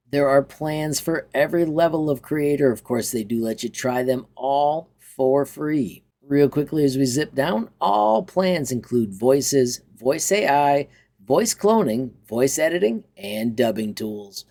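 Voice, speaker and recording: Male how-to presenter, medium pitch, informal and enthusiastic, talking viewers through software. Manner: chatty, enthusiastic. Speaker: tutorial host. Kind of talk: informal software walkthrough with upbeat sign-off.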